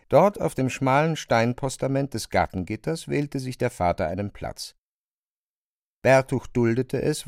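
An actor playing a sober narrator of a family's decline, measured and matter-of-fact in a literary radio drama. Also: male voice, mid-range voice, darkish tone, no echo, clean studio sound, natural voice.

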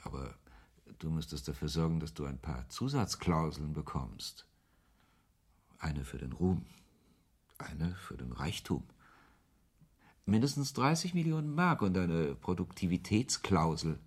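A man's voice: big pitch swings, a little slow, pauses often, exaggerated voice. An actor playing a brooding, ironic author who narrates his own story in a radio play, with a literary, sardonic manner. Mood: teasing. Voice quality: low, husky voice. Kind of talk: first-person radio play narration and dialogue.

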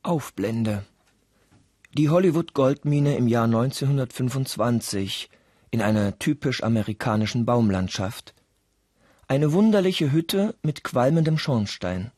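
A man with a husky voice, narrating a scripted radio play in a deliberate, dramatic storytelling manner. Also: medium pitch, animated, darkish tone, no echo. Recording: dry studio with music underneath. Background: music.

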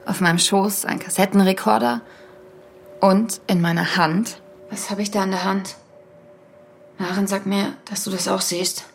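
An actress plays a haunted woman podcaster on a search in an audio drama. Her mid-pitched voice carries a lot of creak, and she speaks with earnest intensity. A little background noise sits behind her. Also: fairly even intonation, normal speed, long pauses, natural voice. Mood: stunned, urgent. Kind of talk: audio drama, first-person podcast narration.

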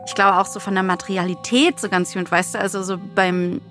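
A woman's voice: mid-range voice, steady tone, fast, a bit bright, faint music behind. A woman co-hosting a podcast in casual chat, informally thinking aloud as she goes. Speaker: podcast co-host.

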